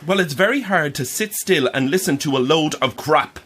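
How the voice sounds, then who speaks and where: An actor playing an earnest, indignant believer in the afterlife in an audio drama, halting and insistent. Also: male voice, a little high, lively intonation, a bit bright. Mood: indignant, pleading.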